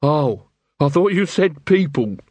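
A man reading an audiobook, voicing a gruff, suspicious male quartermaster who answers curtly. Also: fairly high pitch, expressive, unhurried, a little mellow, dry recording. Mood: grudging. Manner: curt, grudging.

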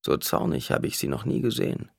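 A man's voice: on the low side, big pitch swings, a little fast, pushed and bright, husky, no room sound, studio quality, heavily acted. A man voicing a disgruntled woman in a crowd for an audiobook, muttering gossip. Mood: muttering, disapproving.

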